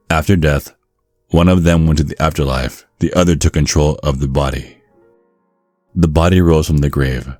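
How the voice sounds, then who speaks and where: A man reading scripted ASMR narration in a measured, storytelling manner. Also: very deep voice, mellow, no room sound.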